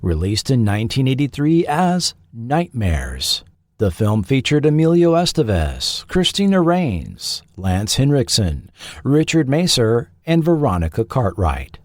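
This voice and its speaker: Dry-miked man narrating, lively delivery. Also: mid-range voice, a little slow, no echo. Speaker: podcast host and narrator. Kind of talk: scripted documentary narration.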